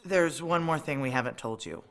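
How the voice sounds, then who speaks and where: A woman's voice: low voice, moderate pace, a bit bright, dry recording. A woman playing a guarded, brooding woman on the run who narrates a noir audio drama in a terse, wry, confiding way. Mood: businesslike.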